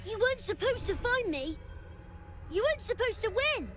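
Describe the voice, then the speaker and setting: A woman's voice: extremely high pitch, very animated, moderate pace, soft background music. A woman voicing a playful, indignant young child in a video game. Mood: petulant, playful. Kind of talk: video game character dialogue.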